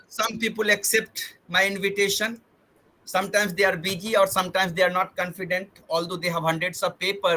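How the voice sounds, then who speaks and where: Male webinar host, high, sharp voice, earnest, circles back to rephrase, video call audio. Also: poor audio. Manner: earnest, repeats and rephrases himself. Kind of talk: formal online introduction.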